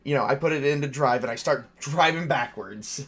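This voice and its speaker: Man, medium pitch, game master, casual tabletop narration, informal, improvising. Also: normal speed, creaky, fairly bright tone. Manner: informal, improvising with mild swearing.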